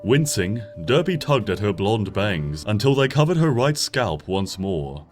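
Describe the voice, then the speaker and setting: A man narrating a story from a script in an expressive, measured audiobook style, with music playing behind him. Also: fairly low pitch. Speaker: fan fiction reader, narrator. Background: background music.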